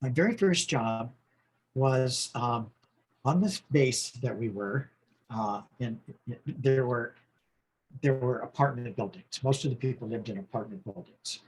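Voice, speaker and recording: Husky older man telling stories. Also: medium pitch, unhurried, rough recording. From United States. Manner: rambling, warm storytelling with laughs and restarts. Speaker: guest. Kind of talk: casual interview conversation.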